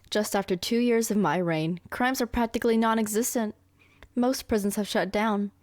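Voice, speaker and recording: Casting: woman playing smug young anime villainess girlfriend, manipulative, boastful, coaxing, mid-pitched, very dry close sound. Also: darkish tone, dry recording, natural voice. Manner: boastful, coaxing, self-satisfied. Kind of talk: anime ASMR girlfriend roleplay.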